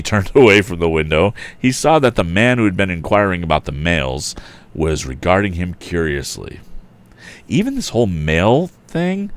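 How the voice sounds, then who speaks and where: A man hosting a casual story read-aloud, informal and a bit halting, slipping between narration and chatty asides. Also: low voice. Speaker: storybook reader and host.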